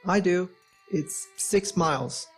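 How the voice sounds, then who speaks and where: A low voice from a woman as a cheeky young country man in a nostalgic radio play. The delivery is droll and matter-of-fact. Light music sits underneath. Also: takes it slowly. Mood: confident.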